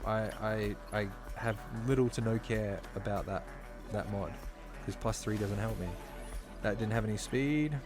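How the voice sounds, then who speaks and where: A man talking casually while live-streaming a game, slightly hoarse, chatty and full of fillers, from a home streaming setup. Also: lowish voice, a little slow. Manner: chatty, filler-heavy, talks while playing. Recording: clear background music under voice.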